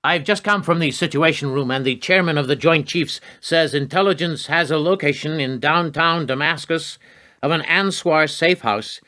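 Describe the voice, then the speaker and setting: Radio play villainous adviser, male actor: formal, calculating, insistent, with a tense, cutting edge and raised male pitch. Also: bright, forceful tone. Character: calculating, cold-hearted male presidential adviser from energy business.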